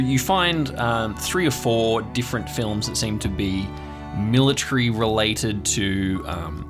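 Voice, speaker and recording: A man narrating an improvised tabletop story as game master, describing scenes in a conversational way. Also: lowish voice.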